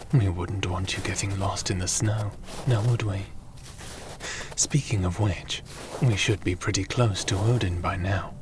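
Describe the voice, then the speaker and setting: A man acts out a courteous, softly curious companion in a fantasy audio roleplay. He speaks in a lowish register at a very slow, measured pace. His calm formality carries a polite wryness. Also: noisy background. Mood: gentle, caring.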